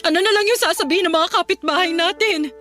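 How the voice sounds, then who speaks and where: A woman's voice: very high-pitched, fast talker, pushed and bright, soft background music. An actress playing an anxious, nagging middle-aged mother in a radio drama. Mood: fretful scolding. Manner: fretful, scolding, tearful at times. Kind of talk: Filipino radio drama acting.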